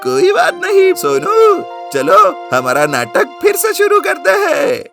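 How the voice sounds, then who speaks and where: Squealing, cunning cartoon donkey. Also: male voice, very high voice, wildly swooping pitch, takes it slowly, over music, voice pushed up, full character voice. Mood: sly, reassuring. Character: cunning, greedy male donkey, squeaky sidekick schemer. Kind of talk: animated storybook character voice.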